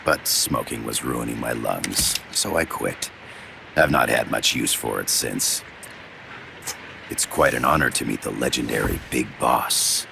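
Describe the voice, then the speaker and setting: An actor playing a sly older Soviet professor and spy in a game cutscene, speaking in a measured, formal, gravelly way. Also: male voice, background noise, full character voice. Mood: courteous, sly. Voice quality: very deep gravelly voice. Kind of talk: video game cutscene voice acting. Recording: music under the voice.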